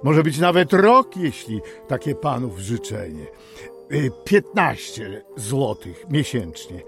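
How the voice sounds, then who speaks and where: Period radio drama: male actor as affable, shrewd middle-aged pharmacist, unhurried, animated pitch, faint music behind. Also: vocal fry, full character voice. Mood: satisfied, bargaining. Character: affable, money-minded small-town pharmacist and landlord, father.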